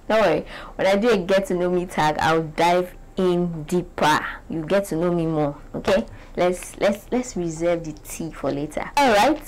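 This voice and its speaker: A woman's voice: lowish voice, low-quality recording. Chatty young Nigerian woman vlogging. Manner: animated, chatty, gestures a lot. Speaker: beauty vlogger. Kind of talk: casual direct-to-camera vlog. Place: small bright indoor room.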